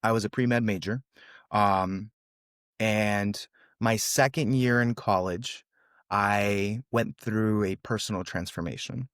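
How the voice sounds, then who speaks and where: A young man with a fairly low voice, recorded close and dry with no room sound. He talks through his life story in an earnest, casual way, often calling the host "brother". Russian is his first language, though he grew up in California. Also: slow, fairly bright tone, clear, resonant tone, no room sound. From USA, California. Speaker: guest.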